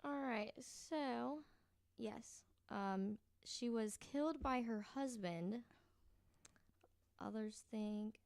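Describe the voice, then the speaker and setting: A woman's voice: on the high side, extremely slow, gravelly, a little mellow, no echo. A woman telling stories in a casual, hesitant way as a podcast guest, as if piecing details together while reading. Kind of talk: casual storytelling chat.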